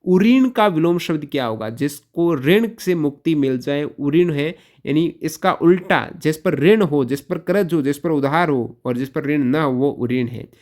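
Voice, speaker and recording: A man's voice: a little high, animated, a little slow, hardly any pauses, darkish tone. A man teaching an exam-prep lesson question by question, posing questions and repeating key answers in an instructional way.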